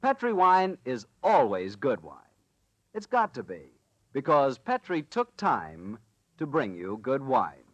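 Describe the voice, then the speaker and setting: A man's voice: mid-range voice, wildly swooping pitch, takes it slowly, a bit bright, no room sound, highs cut off. A man with an American accent hosting and announcing in a polished, emphatic 1940s radio sponsor style.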